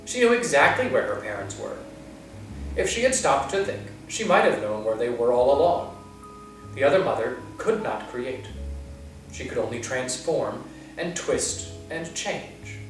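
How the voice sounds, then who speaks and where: A man reading a children's book aloud as a library storyteller, with slow, expressive delivery. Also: medium pitch. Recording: echoey room, far from mic, soft guitar.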